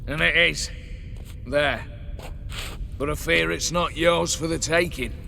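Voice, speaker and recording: A man's voice: a little high, slow pace, creaky, sharp tone, slight background noise, full character voice. An actor playing a sly, scheming young nobleman who speaks with smug mockery, recorded with long reverb.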